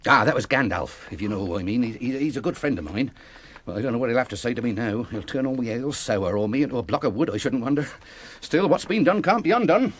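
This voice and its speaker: A man acts out a fat, bumbling English innkeeper from a fantasy radio play. His husky voice moves animatedly as he stammers and rambles apologetically. He sounds rustic, loyal and thoroughly flustered. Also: mid-range voice, expressive, quick pace, natural voice. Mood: rambling, sheepish. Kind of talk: British fantasy radio drama acting.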